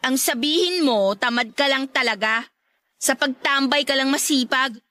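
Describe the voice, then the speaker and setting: Feisty, high-voiced young woman played by an actress. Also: normal speed, no echo. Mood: scornful. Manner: scolding, haughty, sharp-tongued. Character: feisty, disdainful young college-graduate woman rebuffing a suitor. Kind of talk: Tagalog radio drama acting.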